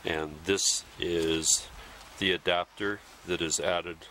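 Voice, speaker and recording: Slow, low-voiced man explaining gear. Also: steady tone, pushed and bright, a little hiss or hum, poor audio. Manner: plain, methodical explaining with pauses. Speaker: gear demonstrator. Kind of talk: instructional voice-over.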